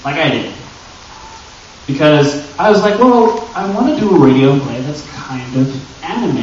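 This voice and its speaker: A man's voice: a little high, animated, unhurried. A man giving an informal, chatty panel talk to an audience, joking and addressing the crowd directly as he presents. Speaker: panel presenter and audio drama creator. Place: indoor convention panel room. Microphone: distant camera microphone. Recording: very echoey room, distant, muffled and noisy.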